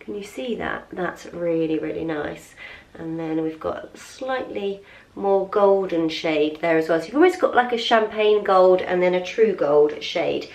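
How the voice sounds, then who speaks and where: A woman's voice: fairly low pitch, measured pace, gravelly, darkish tone. A young woman chats away while trying on clothes at home, gushing and doubling her words for emphasis, her nose a little blocked from a cold. She sits back from the camera, so her voice sounds distant and bounces around an echoey room. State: slightly stuffy from a cold. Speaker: lifestyle vlogger. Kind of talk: casual try-on and unboxing chat.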